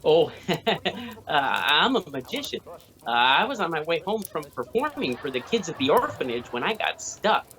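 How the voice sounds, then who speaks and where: Polite stranded magician, male role, female actor: hesitant, apologetic, lowish, animated pitch. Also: moderate pace, full character voice. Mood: sheepish. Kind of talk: radio play acting.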